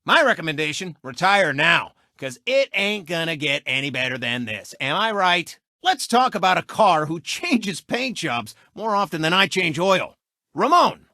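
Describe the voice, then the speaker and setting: A man's voice: high voice, bright, forceful tone, no room sound, clean studio sound, exaggerated voice. A man voicing a cocky, sneering race car who hosts a TV show in a video game, boastful and mocking, in a showy broadcaster's delivery. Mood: smug commentary. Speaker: TV sports show host and racer. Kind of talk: video game character clips, hammy sportscast commentary.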